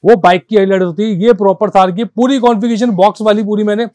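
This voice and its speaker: A man selling car accessories in his shop, talking the viewer through each fitted part with a pushy salesman's pitch and calling them brother. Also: fairly even intonation, fast talker, darkish tone, no room sound. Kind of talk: sales pitch walkthrough video.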